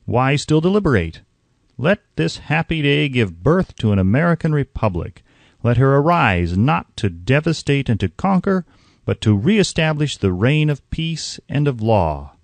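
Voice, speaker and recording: A man's voice: medium pitch, big pitch swings, unhurried, creaky, no echo, voice pushed up. Male narrator as fervent colonial-era statesman, formal oratory, declamatory, dry recording, audiobook. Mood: urgent, fervent. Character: impassioned 18th-century male statesman making a formal speech.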